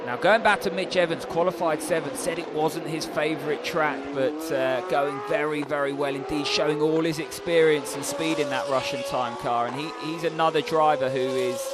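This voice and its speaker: A man as co-commentator, explaining race strategy, often restarting his sentences. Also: a little high. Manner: explanatory, with frequent restarts and fillers. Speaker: co-commentator, expert analyst. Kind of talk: motorsport race analysis. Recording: voice over loud race-car noise.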